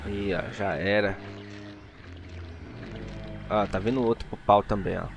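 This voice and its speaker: A man's voice: on the low side, steady tone, a little slow, vocal fry, music in the background. A young man with a Brazilian accent gives casual, slangy, joking commentary as host of a gaming video. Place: small room indoors. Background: game ambience.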